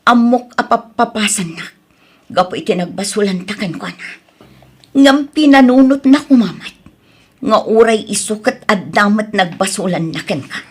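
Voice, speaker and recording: A woman's voice: mid-range voice, animated, moderate pace, husky. A woman acting an anxious, aggrieved mother in an Ilocano radio drama, speaking earnestly with an Ilocano accent.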